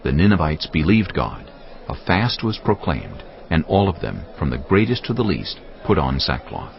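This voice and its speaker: A man narrating scripture for a dramatized audio production in a deliberate storytelling manner. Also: very deep voice, sing-song. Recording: dull band-limited audio, faint music.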